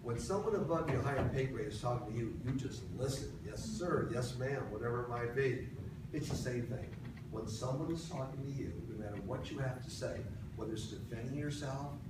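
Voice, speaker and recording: A man with a fairly high voice coaching an audience, talking in a direct, emphatic way and repeating key points. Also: lively intonation, fairly quick. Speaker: speaker at a workshop for veterans. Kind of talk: coaching talk to a live audience. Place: large echoey room with an audience. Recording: roomy, distant, noisy.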